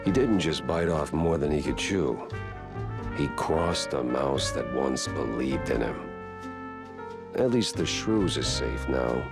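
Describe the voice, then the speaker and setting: An actor playing a hard-boiled, world-weary mouse private detective in a noir cartoon, with a low, gruff American voice and a wry, laconic, slangy way of talking. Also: male voice, lively intonation, slow, loud music behind the voice, heavily acted. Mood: brooding, wry. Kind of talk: 1930s noir detective acting with brooding voice-over.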